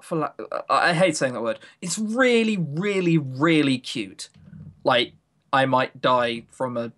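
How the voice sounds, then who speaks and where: British man with swooping, animated pitch. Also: a little slow. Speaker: podcast co-host. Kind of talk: casual chat about visual novels.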